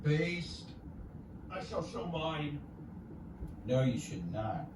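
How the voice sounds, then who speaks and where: A man's voice: a little high, big pitch swings, very slow. A man in casual banter, sounding like a friend chiming in from the side. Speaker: friend joining the video remotely or off-camera. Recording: distant, echoey, noisy pickup.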